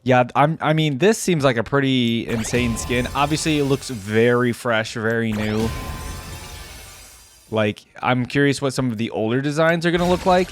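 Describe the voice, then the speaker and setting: A man's voice: medium pitch, moderate pace, soft background music. A man doing casual reaction commentary as a video host, musing and thinking aloud in an informal way.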